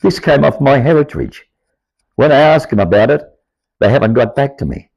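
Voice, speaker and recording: An older Australian man with a croaky, aged voice, telling his life story to camera in an earnest, rambling way. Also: mid-range voice, lively intonation, moderate pace, darkish tone, no echo, lo-fi sound. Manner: earnest, rambling, grows insistent. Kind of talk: personal monologue to camera.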